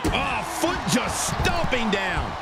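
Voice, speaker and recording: A man voicing an excitable wrestling play-by-play announcer in a video game. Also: very high voice, takes it slowly, heavily acted. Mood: excited. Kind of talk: excited sports commentary. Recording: loud arena noise behind.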